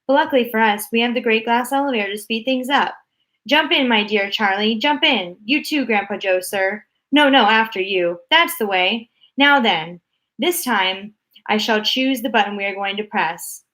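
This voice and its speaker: A woman voicing an excitable, eccentric grown man in a storybook reading, talking in bouncy, grand exclamations. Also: on the high side, normal speed, natural voice. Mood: delighted.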